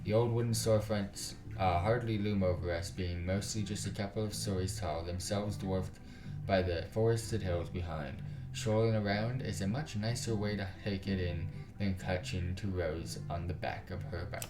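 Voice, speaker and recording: Low voice guy reading a visual novel out loud, slangy side comments, echoey room w game music behind. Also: fairly even intonation, normal speed. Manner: slangy, sweary in asides. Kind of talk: narrative read-aloud with casual asides. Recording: roomy echo, music behind.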